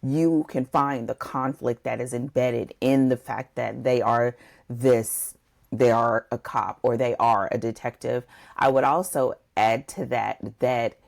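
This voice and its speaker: Woman, very low voice, heavy creak, podcast co-host, conversational, thinking out loud, wandering phrasing. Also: steady tone, measured pace. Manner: discursive, thinking aloud. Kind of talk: conversational book discussion.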